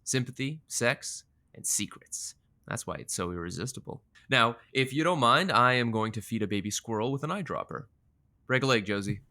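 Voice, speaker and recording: Audio comedy: charming doctor with his own show, bright forceful tone, breezy, pleased with himself. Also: mid-range voice, lively intonation, moderate pace. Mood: breezy, self-assured. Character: smug, charming, animal-loving male radio doctor. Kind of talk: scripted audio comedy drama.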